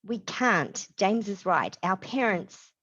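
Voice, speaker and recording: A woman's voice: mid-range voice, lively intonation, takes it slowly, fairly bright tone, breathy edge, dull audio, full character voice. A woman voicing a tough, decisive big sister urgently giving orders in a zombie story. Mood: anxious, insistent. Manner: urgent, commanding.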